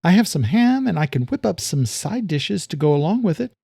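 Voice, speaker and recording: A woman's voice: on the low side, big pitch swings, brisk, creaky, mellow, husky, no room sound, studio quality, voice pushed up, heavily acted. A man narrating a story, voicing an anxious middle-aged mother who talks in a soothing, eager-to-please way.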